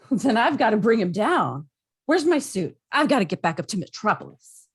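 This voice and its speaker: Lady reading a brash superhero guy, super animated, bit raspy, casual and stubborn, table read. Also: fairly high pitch, moderate pace, heavily acted. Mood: alarmed. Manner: casual, emphatic. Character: brash, stubborn, heroic male alien superhero.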